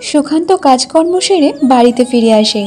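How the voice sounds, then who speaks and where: A woman's voice: high voice, fairly quick, background noise. A woman narrating a scripted story as the narrator.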